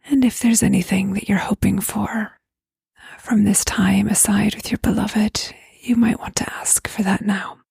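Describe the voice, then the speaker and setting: A woman guiding a prayer meditation in a soft, breathy near-whisper, with gentle, unhurried, spacious phrasing. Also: medium pitch, fairly even intonation, dry recording. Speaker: guided meditation leader, host. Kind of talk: guided prayer meditation, slow invitations.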